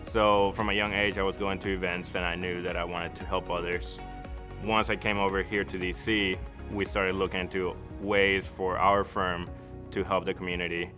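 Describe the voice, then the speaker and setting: A man's voice: low-pitched, little pitch movement, normal speed. A man from Costa Rica speaking earnestly and reflectively in an interview testimonial, as an architect. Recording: music behind the voice. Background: background music.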